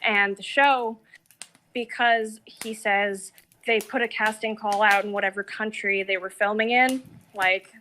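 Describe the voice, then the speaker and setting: A woman with a bright, forceful voice at a middle pitch co-hosts a casual critical discussion. She talks emphatically, with filler words throughout. The recording carries faint noise and clicks. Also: steady tone, measured pace.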